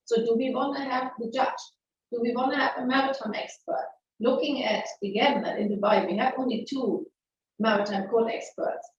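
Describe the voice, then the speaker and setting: A middle-aged woman with a German accent leads a conversational legal webinar in a frank, lively manner, restarting her sentences and tossing out rhetorical questions. Her voice sits fairly high. It comes through a video call, muffled and set back in a strongly echoing room. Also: moderate pace. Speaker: webinar presenter, maritime lawyer. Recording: very echoey, distant, muffled webinar audio.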